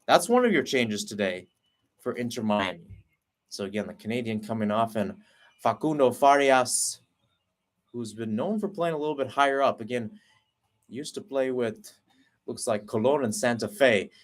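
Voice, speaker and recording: A man at a commentary mic calls a soccer match move by move. His delivery runs quick and steady through pass after pass, then climbs in pitch and energy when a chance opens. His voice sits at a plain middle pitch for a man. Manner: rapid running call, rising on chances. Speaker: play-by-play commentator. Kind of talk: live soccer play-by-play.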